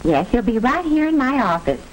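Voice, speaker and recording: A woman's voice: a little high, big pitch swings, fairly quick. A woman playing a polite, formal school staffer on the telephone in a 1940s educational film. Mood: polite, helpful. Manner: courteous, formal. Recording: noisy 1940s film soundtrack.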